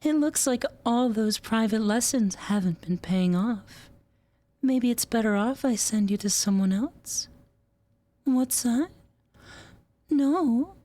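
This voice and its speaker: A woman's voice: a little high, animated, slow, pauses often, mellow. A woman performing a scripted roleplay as a teasing, domineering superior, in a sultry, condescending drawl. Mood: teasing, coy. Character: teasing, domineering female superior scolding her agent.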